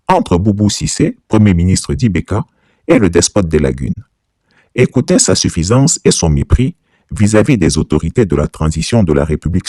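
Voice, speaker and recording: A man's voice: deep voice, warm tone, no room sound, studio quality. A man narrating a scripted political denunciation in a solemn, accusatory manner. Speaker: narrator introducing a leaked recording.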